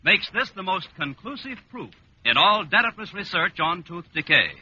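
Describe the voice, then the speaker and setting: A man's voice: high voice, sing-song, normal speed, bright, forceful tone, slightly rough, dull audio. An American man announcing a scripted mid-century radio advertisement with an emphatic sales delivery. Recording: noisy, band-limited old radio recording.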